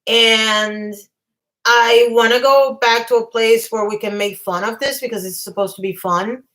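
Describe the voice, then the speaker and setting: This is a woman with a somewhat high voice who hosts a casual livestream. She rambles through her thoughts. Her voice is going hoarse, and she keeps clearing her throat. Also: fairly even intonation, measured pace, a bit bright. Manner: chatty, reads viewer comments aloud. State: losing her voice. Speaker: livestream host.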